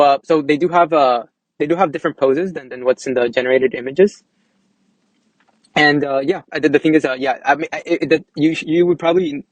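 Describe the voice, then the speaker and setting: A young adult man giving a casual, informal software demo, speaking hesitantly and often repeating words as he goes. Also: mid-range voice, no echo, rough recording. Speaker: app developer presenting a demo.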